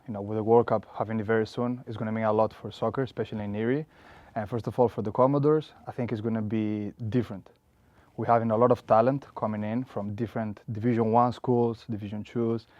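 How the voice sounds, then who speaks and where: A young man speaks as a head coach in a casual interview. His voice is mellow and warm, plain and earnest, with many small fillers. The sound is dry and a bit dull, like a lo-fi recording. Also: lowish voice, fairly even intonation, fast, no echo. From Spain. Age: young adult.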